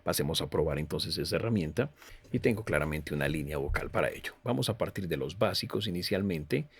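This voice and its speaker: Low-pitched man presenting a software tutorial. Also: lively intonation, brisk, slightly rough. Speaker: presenter of a music software review. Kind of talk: tutorial walkthrough narration in Spanish.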